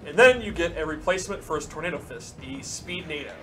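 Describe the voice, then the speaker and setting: A man's voice: a little high, animated, quick pace. A man giving an explanatory, instructive gameplay demonstration on a live stream. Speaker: game developer demonstrating moves. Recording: music playing behind the voice. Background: music.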